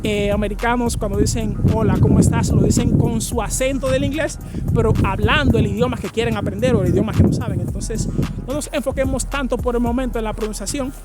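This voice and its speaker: A man's voice: very high-pitched, fairly quick. A man with a Dominican accent, casually giving advice to his viewers in a rambling vlog, full of fillers and restarts. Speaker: vlogger. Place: outdoors with a scenic view. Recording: loud music under the voice.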